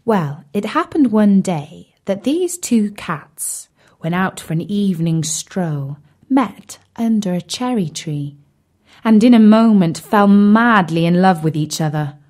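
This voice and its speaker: A woman's voice: medium pitch, lively intonation, takes it slowly, darkish tone. A woman narrating a scripted children's story expressively, addressing young listeners directly.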